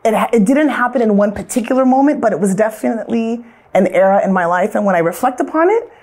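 Woman, American accent, thirties, slightly high pitch, earnest, reflective storytelling, restarts and fillers. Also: expressive, fast talker, a little mellow. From Southern California. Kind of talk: personal reflection, casual storytelling.

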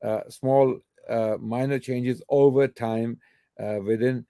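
A man's voice: medium pitch, steady tone, slow pace, warm tone, clear, resonant tone, dry recording, clean studio sound. A man hosting a live call-in question and answer show, who answers emphatically and repeats his key points. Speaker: host answering callers' questions.

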